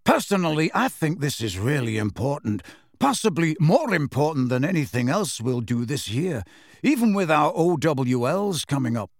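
A man's voice: a little high, very animated, moderate pace, no echo, studio quality. A man voicing a pompous, self-important teenage schoolboy who declaims his opinions in a storybook reading. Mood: pompous, indignant.

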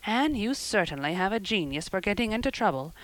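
A woman reading an audiobook, voicing a stern, practical older woman who scolds briskly and plainly. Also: mid-range voice, big pitch swings, no room sound, natural voice, low-quality recording. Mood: stern, dry. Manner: brisk, scolding and matter-of-fact. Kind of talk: audiobook character voice.

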